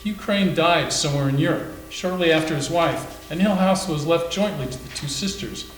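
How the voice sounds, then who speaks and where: A man playing a storyteller in a staged reading, recounting a haunted house's history in a measured, somber way. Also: on the high side, close to an everyday voice. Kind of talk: staged readers theater narration. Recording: echoey room with faint hum.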